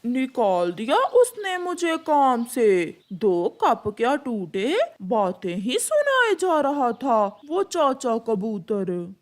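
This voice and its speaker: A woman's voice: on the high side, big pitch swings, slow, few pauses. A woman voicing a lazy, cheeky male crow husband in a cartoon, grumbling and insolent. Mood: sulky. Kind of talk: Hindi animated moral story dialogue.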